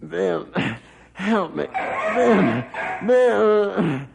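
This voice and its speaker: An actor playing an earnest farmer who tells stories deliberately and expressively in an old-time radio drama. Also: male voice, high-pitched, expressive, gravelly, heavily acted. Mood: strained, desperate. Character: earnest farmer recounting his dog's heroics. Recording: faintly noisy vintage radio recording.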